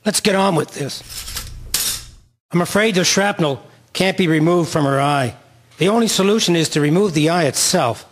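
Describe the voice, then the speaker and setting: Man playing a husky, businesslike army surgeon. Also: on the high side, moderate pace, pauses often, natural voice. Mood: serious, decisive. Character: businesslike male army eye specialist. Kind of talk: radio drama acting.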